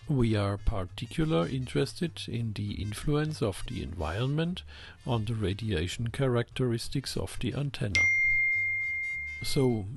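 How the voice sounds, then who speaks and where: A man narrates a scripted technical explainer in a methodical way. His pitch is average and his delivery is slow, with a noticeable gravelly fry in the voice. Soft music plays faintly underneath. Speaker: host of a ham radio electronics channel.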